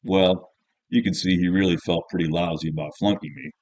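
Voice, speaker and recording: A man's voice: deep voice, fairly even intonation, a little fast, darkish tone, dry recording, dull audio, close to an everyday voice. A man reading as a jaded, cynical teenage schoolboy, narrating an audiobook in the first person in a colloquial, grumbling, offhand way.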